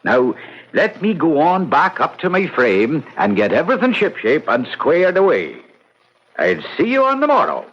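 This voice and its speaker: Swooping, roguish Irish ghost uncle. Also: male voice, fairly high pitch, huge pitch swings, normal speed, a bit bright, breathy edge, heavily acted. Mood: scheming, reassuring. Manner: theatrical, teasing, folksy. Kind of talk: old-time radio drama acting. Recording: muffled old radio recording.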